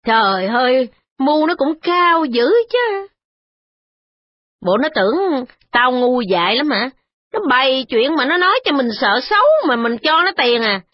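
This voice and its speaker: A woman voicing a domineering, quick-tempered older woman who scolds and threatens. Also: high-pitched, expressive, no room sound, voice pushed up. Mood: furious. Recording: dull lo-fi audio with music.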